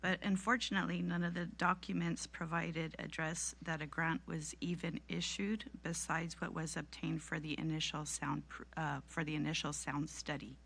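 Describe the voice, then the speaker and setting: A woman's voice: low voice, little pitch movement, normal speed, vocal fry, hardly any pauses, fairly bright tone, husky. A woman making a public comment to a city council as a member of the public.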